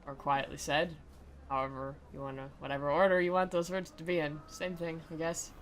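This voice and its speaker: A woman's voice: deep voice, little pitch movement, a little fast, slight background noise. A woman giving sarcastic, playful commentary as she reads a visual novel aloud in a dramatic style. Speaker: game commentator and reader. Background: faint music.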